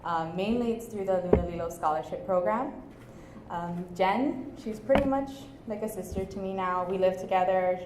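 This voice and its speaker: A woman's voice: medium pitch, normal speed, background noise. A young woman in her twenties, a scholarship recipient, answering questions on stage in an earnest, personal way. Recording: echoey room with faint ambience.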